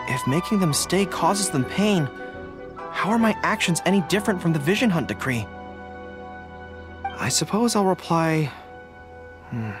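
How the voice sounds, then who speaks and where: A young man voicing an earnest, formal young dog-eared general in a video game. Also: a little high. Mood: troubled, subdued. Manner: earnest, deliberate, formal phrasing. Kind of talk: video game character dialogue.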